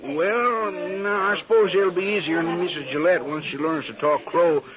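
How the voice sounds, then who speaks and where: Tipsy tactless townsman, played by a male actor, radio western: highish voice, rural Western drawl, folksy and gossipy, dull old recording. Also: steady tone, normal speed, close to an everyday voice. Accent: rural American West. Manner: folksy, blunt, rambling. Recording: muffled old radio recording, crowd behind.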